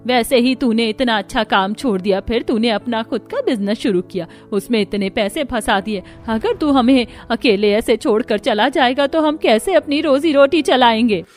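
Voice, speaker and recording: A woman's voice: a little high, moderate pace, few pauses, faint music behind, lightly acted. A woman playing an anxious, protective village mother in a cartoon, emotional and insistent. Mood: pleading. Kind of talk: Hindi cartoon story dialogue.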